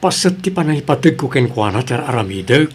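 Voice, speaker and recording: A man with a Filipino accent and a husky voice playing an indulgent, trusting father in a family drama. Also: medium pitch, big pitch swings, fast. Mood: indulgent, reassuring. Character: indulgent, trusting middle-aged father and husband. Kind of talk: Filipino radio-style family drama acting.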